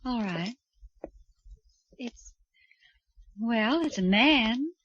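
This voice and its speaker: Uneasy, hesitant artist's model. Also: female voice, a little high, expressive, drawn-out words, a bit bright, exaggerated voice. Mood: polite, hesitant. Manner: hesitant, deferential. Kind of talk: 1940s radio acting. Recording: muffled 1940s radio recording.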